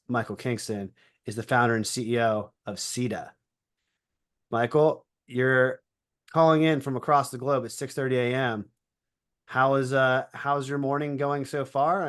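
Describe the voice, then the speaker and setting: Male podcast host, mid-pitched voice, conversational, folksy questions. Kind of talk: interview hosting.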